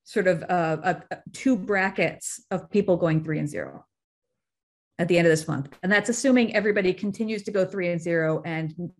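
A woman's voice: fairly low pitch, brisk. A woman on a podcast panel explaining things analytically and precisely in casual conversation. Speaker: podcast guest.

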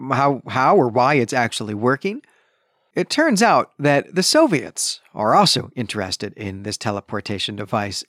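A man's voice: mid-range voice, a bit bright, no echo, studio quality. A man hosting a podcast, recapping a story in a conversational, emphatic, explanatory way. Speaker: podcast host reviewing a novel.

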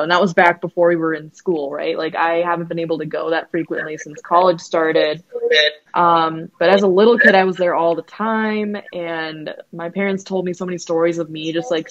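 Young adult podcaster: mid-pitched, chatty, filler-heavy storyteller; dry, slightly lo-fi recording. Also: dry recording. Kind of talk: casual podcast chat.

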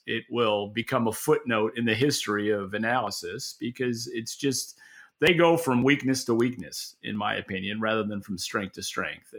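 Man, bright forceful voice, average pitch, podcast co-host, opinionated commentary, conversational, wry and dry-humored. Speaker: podcast co-host and market analyst.

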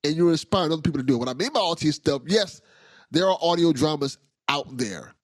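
A man's voice: a little high, animated, fast talker, no echo, studio quality. Enthusiastic, slangy man podcast host. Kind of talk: casual interview chat.

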